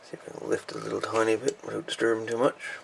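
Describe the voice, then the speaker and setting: A man giving a casual, unhurried, folksy hands-on repair narration, thinking aloud as he goes. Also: lowish voice, level tone, gravelly, slight background noise, poor audio. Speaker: hobbyist radio repairer presenting a video.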